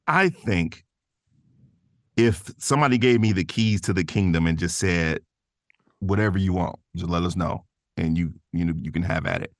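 A man's voice: low-pitched, animated, a little slow, long pauses, no room sound, clean studio sound. A man chatting casually as a podcast guest, informal and slangy, rambling through his answers. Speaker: podcast guest, voice actor and artist. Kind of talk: casual interview chat.

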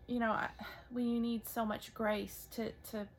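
A woman in her thirties with a soft voice, talking gently and haltingly in a casual faith conversation, often restarting her sentences. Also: fairly high pitch, level tone, slight background noise. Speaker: podcast co-host, mother.

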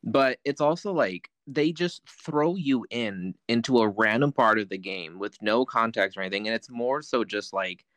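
A man's voice: mid-range voice, expressive, moderate pace, few pauses, fairly bright tone, dry recording. A man co-hosting a podcast, chatting casually about video games and rambling with lots of fillers. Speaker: podcast co-host. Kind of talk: casual chat.

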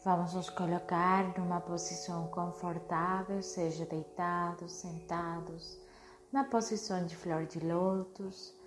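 Slow, lowish-voiced woman guiding meditation. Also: a little hiss or hum. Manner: soothing, unhurried, short phrases with long pauses. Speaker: meditation guide. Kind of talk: guided meditation narration. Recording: music behind the voice. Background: meditation music.

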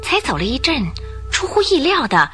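A woman narrating a radio detective drama in a dramatic, animated storytelling manner. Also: sits high, normal speed. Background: music bed.

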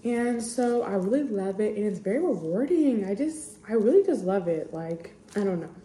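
A woman's voice: mid-range voice, lively intonation, moderate pace, dark tone. A young woman in her twenties sniffling a little while she chats in a candid, rambling way as a podcast host. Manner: rambling, candid, full of "like" and "I just". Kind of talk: casual personal chat. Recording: echoey room.

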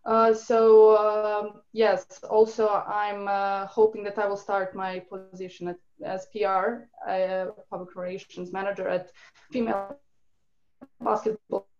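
A woman's voice: mid-range voice, flat delivery, darkish tone. Young woman on a dull line. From Serbia. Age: young adult. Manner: hesitant, pausing for words. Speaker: panel guest.

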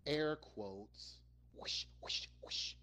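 A man's voice: a little high, extremely slow, pushed and bright. A man co-hosting a gaming podcast, giving his opinions in emphatic, colloquial, casual talk. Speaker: podcast co-host. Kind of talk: casual chat, slangy opinion.

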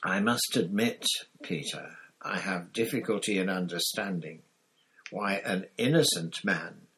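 A man reading an audiobook, voicing a calm, courteous teacher who speaks evenly. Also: sits low, a little slow, poor audio. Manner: even, courteous.

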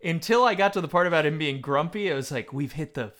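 A man hosting a casual conversation in an animated, emphatic way, sometimes reading aloud. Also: a little high, quick pace. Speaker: podcast host. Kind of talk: casual chat, some reading aloud from a book.

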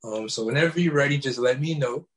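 A man's voice: medium pitch, fast talker. A man hosting a casual interview podcast, eager and deferential, often answering "yes, ma'am". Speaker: podcast host.

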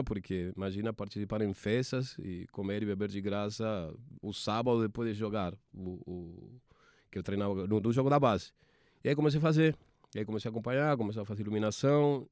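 A man in his forties from Argentina, speaking with an Argentine Spanish accent, a TV sports producer telling his career story in halting speech with many restarts. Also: fairly low pitch, a little mellow, no echo, studio quality. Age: 40s.

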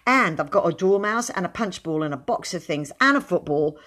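A woman's voice: on the low side, lively intonation, a little fast, a bit bright. A woman reading aloud voices a boastful young boy who tells tall tales in breathless run-on sentences. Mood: proud. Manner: bragging, breathless run-on storytelling.

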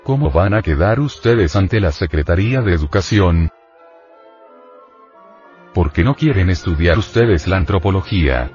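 A man with a very low voice lectures a group of students in an insistent, admonishing way, leaning on rhetorical questions. The recording is muffled and lo-fi, with faint music behind him. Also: animated, normal speed, long pauses, darkish tone. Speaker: spiritual teacher lecturing students.

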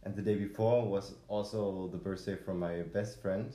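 Male podcast host, low warm tone, rambling delivery full of fillers. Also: steady tone, normal speed, roomy sound. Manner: rambling, many fillers and restarts. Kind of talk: casual conversational podcast.

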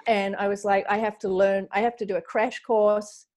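A woman in her forties with a New Zealand accent, talking as a podcast guest. Her pitch sits at an ordinary middle level. She chats freely, full of fillers, and often acts out her own past thoughts. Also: flat delivery, moderate pace, darkish tone. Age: 40s. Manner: chatty, lots of fillers and quoted self-talk. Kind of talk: casual interview chat.

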